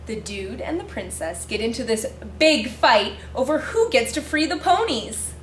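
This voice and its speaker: A woman's voice: fairly high pitch, very animated, normal speed, a little hiss or hum. A woman reading a picture book aloud in character, with girlish, dramatic expression and exaggerated reactions. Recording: roomy echo with faint music.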